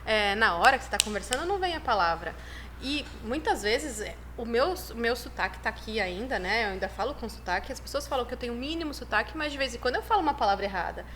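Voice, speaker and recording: A woman's voice: on the high side, animated, fast, fairly bright tone, a little hiss or hum. A woman, a chiropractic dean chatting as the guest on a podcast, upbeat and full of fillers. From Brazil. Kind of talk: casual podcast interview.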